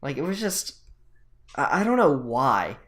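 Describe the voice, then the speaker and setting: A man's voice: medium pitch, sing-song, a little slow, a bit bright. A young man co-hosting an anime podcast, chatting casually with hesitant, repetitive phrasing. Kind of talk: casual chat about anime.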